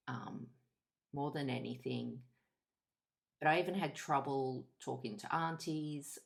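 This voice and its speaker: A woman in her fifties with an Australian accent, giving a candid, reflective personal monologue in measured short phrases. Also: extremely low pitch, creaky. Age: 50s. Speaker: podcast host.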